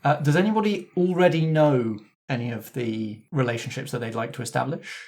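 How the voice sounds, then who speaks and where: A man hosting a roleplaying podcast as game master, addressing the cast in a relaxed, organised way. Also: medium pitch, a little fast. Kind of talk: casual backstage chat after scripted intro.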